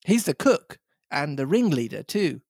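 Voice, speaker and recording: A woman's voice: deep voice, sing-song, a little slow, darkish tone, breathy edge, dry recording, full character voice. A cautious, polite boy, read by a woman who speaks plainly and unhurriedly. The sound is dry and close, with nothing behind it. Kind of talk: storybook read-aloud.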